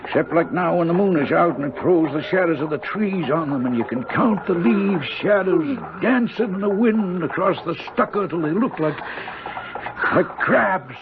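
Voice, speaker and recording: An actor playing a chatty older hired driver in a 1970s radio drama, with a creaky voice and folksy, rambling, superstitious country talk. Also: male voice, high-pitched, expressive, normal speed. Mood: folksy, vivid. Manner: folksy, rambling, old-fashioned rural turns of phrase. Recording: noisy, narrow-band old radio broadcast.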